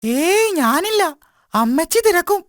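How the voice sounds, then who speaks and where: A woman's voice: very high-pitched, big pitch swings, measured pace, no room sound, studio quality, full character voice. A woman voicing a young male baby elephant in a children's cartoon, childlike, restless and complaining. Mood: hesitant, curious. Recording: music behind the voice.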